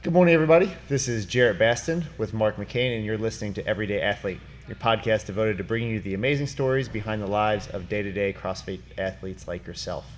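A man's voice: lowish voice, quick pace, few pauses, faint music behind. An American man from Cincinnati hosting a podcast, interviewing in a casual, conversational way. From Cincinnati, Ohio, United States. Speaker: podcast host.

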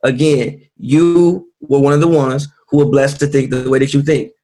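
Flat, calm man arguing patiently. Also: mid-range voice. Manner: calm, measured, keeps insisting on being heard. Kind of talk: casual debate.

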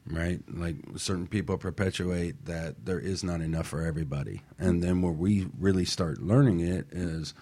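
Very deep, husky-voiced man. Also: moderate pace, hardly any pauses, warm tone. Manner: explanatory, checks in often with "right?". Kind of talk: casual radio discussion.

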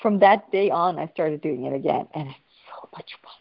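A woman's voice: deep voice, moderate pace, creaky, lo-fi sound. A woman from Sweden, a guest in a casual interview, speaking enthusiastically and playfully from home over a video call. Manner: enthusiastic, free-flowing, playful. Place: at home in Sweden with her dog.

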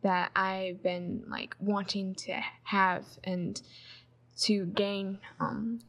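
A young woman in her twenties from Michigan answers interview questions as a student guest. She speaks slowly and hesitantly, leaning on fillers, with a bright voice. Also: mid-range voice, level tone. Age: 20s. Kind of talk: casual interview.

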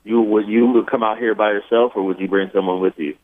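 A man's voice: lowish voice, steady tone, brisk. Male reporter on a tinny phone line. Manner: casual, conversational questions. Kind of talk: press conference questions.

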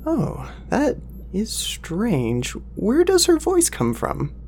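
A man's voice: sits high, huge pitch swings, slow, darkish tone, slight background noise, heavily acted. A flustered young male office assistant acted by a man in an audio drama. His voice swings up and down as he hesitates politely and keeps sounding apologetic. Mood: amazed, hesitant.

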